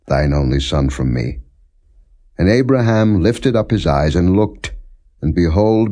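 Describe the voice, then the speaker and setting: Deep, mellow man reading scripture. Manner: solemn, measured, deliberate phrasing. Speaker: scripture narrator. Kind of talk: non-dramatized scripted Bible reading.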